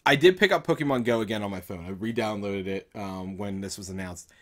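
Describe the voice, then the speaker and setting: A man's voice: lowish voice, brisk. A man on a gaming show panel, thinking aloud as he explains things in casual discussion. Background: music behind the voice.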